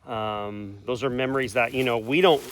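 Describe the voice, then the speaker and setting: A man's voice: mid-range voice, steady tone, moderate pace. Folksy man in his forties. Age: 40s. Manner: rambling, self-correcting, folksy. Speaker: channel host. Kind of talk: casual outdoor vlog chat.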